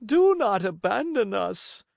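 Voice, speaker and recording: A man's voice: high voice, very animated, slow pace, vocal fry, dry recording, studio quality, pitched above the performer's own voice, full character voice. Man doing a tearful old beggar fox. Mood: pleading. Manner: tearful, pleading, drawn out. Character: old sly starving male fox beggar.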